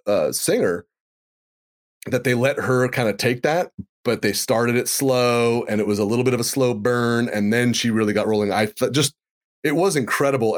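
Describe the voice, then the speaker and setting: A man hosting a podcast, chatting enthusiastically and playfully, with rambling asides. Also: mid-range voice. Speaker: podcast host. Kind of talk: casual chat.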